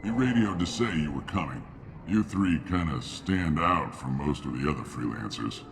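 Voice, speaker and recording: An actor playing a gruff, cynical male mercenary sergeant and mechanic in a video game, with a curt, dismissive manner. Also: rumbling low, expressive, normal speed, heavily acted. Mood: brisk. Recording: clear background noise.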